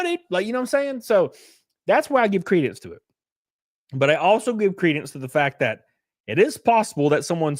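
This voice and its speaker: A man's voice: on the high side, no echo, clean studio sound. A man streaming casual commentary, reading chat messages aloud and rambling with fillers, a bit sniffly. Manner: rambling, lots of fillers and restarts.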